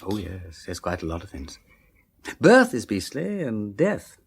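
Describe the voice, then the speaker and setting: An urbane aristocratic English detective in a radio play. His voice sits low and moves in very animated swoops. He drawls old-fashioned slang with breezy flippancy, yet stays kindly. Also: measured pace, vocal fry, breathy edge, heavily acted. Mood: gentle, consoling, then breezy, flippant. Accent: upper-class English. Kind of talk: full-cast radio drama acting.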